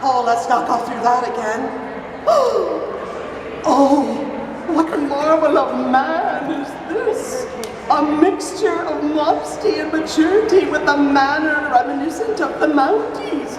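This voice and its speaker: A woman's voice: sits high, expressive, slow pace. A woman playing a fussy, theatrical mother in flowery live stage melodrama. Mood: dramatic. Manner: flowery alliterative melodramatic delivery. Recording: distant, echoey noisy hall.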